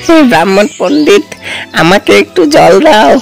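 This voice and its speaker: Man voicing a high-pitched pleading crow. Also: very animated, moderate pace, vocal fry, faint music behind, full character voice. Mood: desperate pleading. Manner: pleading, imploring. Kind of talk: Bengali fairy-tale cartoon voice acting.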